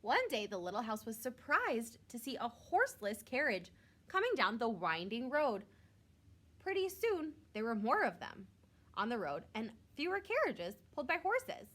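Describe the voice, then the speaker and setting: A woman reads a children's picture book aloud in the style of a schoolteacher. Her pitch is lively and moves up and down a great deal. She is expressive and pauses for simple asides aimed at young listeners. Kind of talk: storybook read-aloud with short asides.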